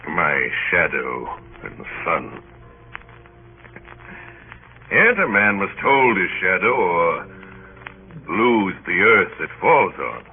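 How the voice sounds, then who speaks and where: Deep, pompous clergyman. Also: sing-song, takes it slowly, creaky, narrow, tinny audio, exaggerated voice. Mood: smooth, solemn. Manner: pompous, oratorical, insistent. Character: imposing, grandiloquent clergyman passenger, commanding and enigmatic. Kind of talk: old-time radio drama acting. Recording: band-limited, noisy old radio recording.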